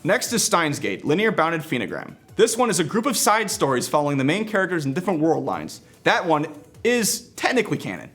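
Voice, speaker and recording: Male reviewer, higher voice, very animated intonation, scripted with casual asides, music underneath. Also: fairly quick. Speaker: video game reviewer and show presenter.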